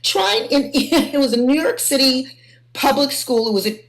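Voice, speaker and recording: A woman's voice: sits high, normal speed, poor audio. A middle-aged woman moderating an informal panel discussion, putting questions to guests and audience.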